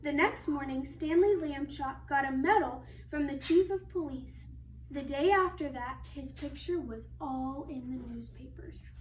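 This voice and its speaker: High-voiced woman teacher in an echoey room. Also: measured pace. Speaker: second grade teacher. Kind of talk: children's story read-aloud. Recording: echoey, distant mic, faint noise.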